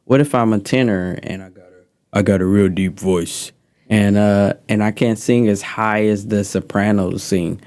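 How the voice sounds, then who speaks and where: A man teaching music recording in a relaxed, rambling way, explaining a studio session. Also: fairly low pitch, normal speed. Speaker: music mentor. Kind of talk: casual teaching.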